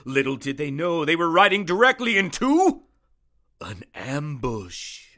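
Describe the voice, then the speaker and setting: A man's voice: fairly high pitch, big pitch swings, measured pace, bright, forceful tone, no echo, studio quality. A man announcing and narrating dramatically, in the grandiose manner of an old-time adventure serial. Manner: grandiose, theatrical. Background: music.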